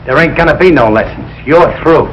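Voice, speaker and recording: Blustery Brooklyn husband, swooping voice. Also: male voice, on the high side, animated, fairly quick, a bit bright, lo-fi sound. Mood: angry, blustering. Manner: blunt, slangy, blustery. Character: blustering, jealous, good-hearted working-class American husband and father. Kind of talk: 1940s-50s broadcast sitcom acting. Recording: noisy old broadcast recording.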